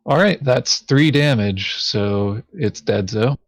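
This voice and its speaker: A man's voice: medium pitch, animated, takes it slowly. A man talking casually and matter-of-factly while playing a tabletop game. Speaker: board game player. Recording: dull lo-fi sound.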